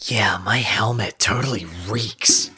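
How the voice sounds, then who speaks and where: An actor in a theatrical audiobook playing a gruff young male thief with a dry, grumbling, theatrical manner. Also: medium pitch, takes it slowly, crowd noise, natural voice. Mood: grumbling, disgusted. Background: background music.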